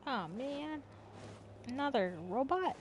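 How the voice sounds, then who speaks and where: A woman streaming a game, with a fairly high voice and an unhurried pace. She talks casually in slang and jokes around, over faint background noise. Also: animated. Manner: slangy, joking. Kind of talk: casual gaming chat.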